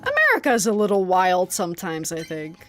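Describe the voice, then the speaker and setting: A woman's voice: fairly low pitch, wildly swooping pitch, brisk, over music. A young woman from the Netherlands, a native Dutch speaker, chatting casually and rambling with many fillers while streaming a game, often breaking into giggles. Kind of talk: casual chat while gaming.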